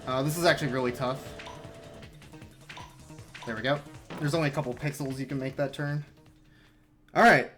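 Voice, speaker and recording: A man's voice: mid-range voice, steady tone, normal speed, long pauses, a bit bright, faint music behind. A man giving casual, rambling, self-mocking commentary while he plays through a speedrun live.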